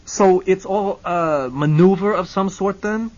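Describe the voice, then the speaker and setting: A man voicing a hot-tempered, indignant man who sputters and shouts, on a muffled lo-fi recording. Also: sits high, unhurried, voice pushed up. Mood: wary.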